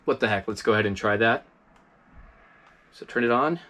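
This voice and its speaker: A man casually reviewing a budget radio as host of his channel, relaxed and chatty with lots of fillers, recorded inside an RV. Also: on the low side, moderate pace.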